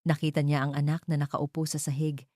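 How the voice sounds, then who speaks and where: A woman's voice: low voice, level tone, brisk, mellow, no room sound, clean studio sound. A woman reading a scripted romantic drama as an audio story narrator.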